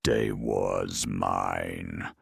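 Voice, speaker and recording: A man voicing a huge, sullen ork warboss in an audio story, flat and menacing, with slangy clipped words. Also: extremely low pitch, drawn-out words, vocal fry, husky, no echo, studio quality, voice pushed down, exaggerated voice. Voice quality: flat, enormous, hollow drainpipe voice.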